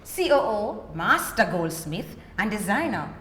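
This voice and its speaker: A woman's voice: mid-range voice, very animated, measured pace, fairly bright tone, a little hiss or hum. A woman hosting a podcast, upbeat and putting emphasis on her intro. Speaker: podcast host. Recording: echoey hall with long reverb.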